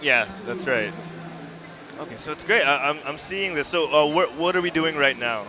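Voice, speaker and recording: A man's voice: fairly high pitch, expressive, moderate pace, sharp tone. A man hosting a convention interview, upbeat and affirming, asking leading questions. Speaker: host interviewing game developers.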